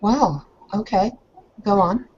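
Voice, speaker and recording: A naive young female werewolf in a tabletop game, acted by a woman. She speaks at a middling pitch, halting and repeating herself as she questions what she is told. The recording is dull and lo-fi. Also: a little mellow, slightly rough. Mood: puzzled. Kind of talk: improvised tabletop roleplay.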